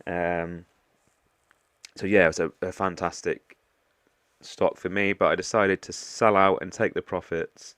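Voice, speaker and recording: A man's voice: low-pitched, little pitch movement, unhurried, long pauses, a bit bright, no echo. A man with a stuffy, congested voice from a cold, hosting a casual portfolio walkthrough vlog and often hesitating with ums and restarts. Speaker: investing vlogger.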